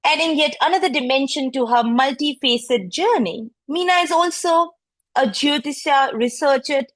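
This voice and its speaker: High-voiced effusive woman host. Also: normal speed, no room sound. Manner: warm, effusive, admits nervousness. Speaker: podcast host. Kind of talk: reading an introduction, then chatting.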